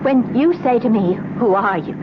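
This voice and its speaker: An actress playing an accusing, wounded middle-aged wife in an old-time radio drama, questioning pointedly and insistently. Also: female voice, on the high side, normal speed, natural voice. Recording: muffled, hissy old radio recording.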